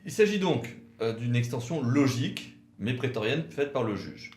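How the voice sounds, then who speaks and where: A man's voice: mid-range voice, expressive, darkish tone, slight background noise. Didactic man explaining law, roomy echo. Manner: didactic, precise, speaks in short measured phrases. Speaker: legal commentator for a law firm, co-presenter. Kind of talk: explanatory legal lecture, semi-scripted. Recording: roomy, echoing sound.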